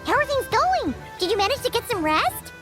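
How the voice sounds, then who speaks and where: A woman voicing a tiny, chirpy floating companion in a video game cutscene, excitable and bubbly, over background music. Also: very high voice, brisk. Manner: bubbly, excitable, talks about herself in third person.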